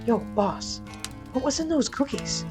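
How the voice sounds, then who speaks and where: Casting: stoic, wary male mail carrier for a comic radio tale, hesitant and deadpan, light music underneath a woman acts him. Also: on the low side, normal speed, natural voice. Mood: suspicious whisper. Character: wary, stoic male postal worker, awkwardly bluffing.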